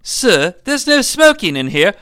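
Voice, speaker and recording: Matter-of-fact storybook nurse read by a man, scolding, unflustered, clear and dry. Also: sits high, very animated, unhurried, sharp tone, dry recording, voice pushed up, heavily acted.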